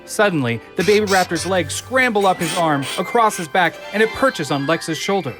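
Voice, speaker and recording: A man's voice: high voice, lively intonation, normal speed. A man reading scripted screenplay narration for an audio drama. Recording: music under the voice.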